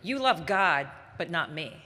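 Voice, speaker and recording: A woman's voice: deep voice, sing-song, unhurried, sharp tone. A woman reciting short scripted statements in a reverberant hall with background noise. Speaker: reader of short personal statements.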